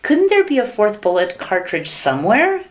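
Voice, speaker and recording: A woman's voice: medium pitch, huge pitch swings, normal speed. A woman playing a fretful, naive, innocently questioning housewife in a classic sitcom radio play. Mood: innocently curious.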